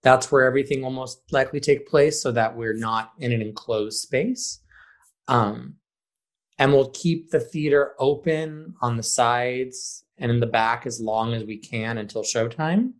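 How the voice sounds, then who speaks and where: A man's voice: mid-range voice, measured pace. Male theater director in casual interview talk. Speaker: high school theater director being interviewed.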